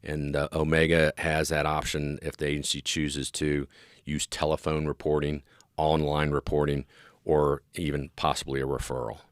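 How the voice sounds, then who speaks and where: Very deep-voiced middle-aged American man, dry sound. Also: monotone, moderate pace, clear, resonant tone, dry recording. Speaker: interview guest, police dispatch specialist and former officer. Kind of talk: interview explanation.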